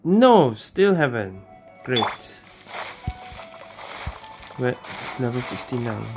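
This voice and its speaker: A man streaming a video game in a casual, slangy way. He talks slowly and offhandedly, half to himself, with clear game music behind him. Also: medium pitch, sing-song. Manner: offhand, muttering to self, slangy. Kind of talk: casual gameplay commentary.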